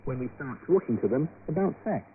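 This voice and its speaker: A man's voice: medium pitch, lively intonation, brisk. A man presenting and interviewing on a broadcast news programme, heard over shortwave radio. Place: radio studio. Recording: crackly shortwave radio, narrow band, static noise.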